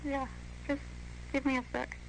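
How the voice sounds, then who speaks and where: A woman's voice: sits high, level tone, moderate pace, gravelly, noisy background. A young female performer playing a fifteen-year-old girl witness in an amateur horror drama. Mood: earnest.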